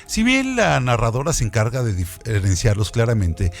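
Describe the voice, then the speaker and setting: Male radio co-host, mid-pitched and very varied in intonation, scripted expository literary narration over soft music. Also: wildly swooping pitch, normal speed, creaky.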